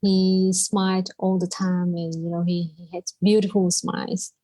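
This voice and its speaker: A woman's voice: lowish voice, flat delivery, unhurried, dark tone, no echo. A woman from Vietnam, a native Vietnamese speaker with a Vietnamese accent, telling her personal story as a podcast guest.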